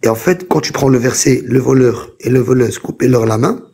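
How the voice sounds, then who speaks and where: A man hosting a live online debate, talking insistently and repeating himself to keep the floor. His voice is dark and mellow, with a husky edge. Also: medium pitch, a little fast. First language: French. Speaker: host. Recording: TikTok live call audio.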